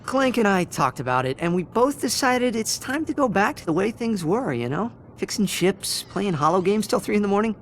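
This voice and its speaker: A man's voice: high-pitched, sing-song, moderate pace, few pauses, a bit bright, slight background noise, full character voice. An actor voicing an easygoing young male alien hero who talks in a casual, laid-back way.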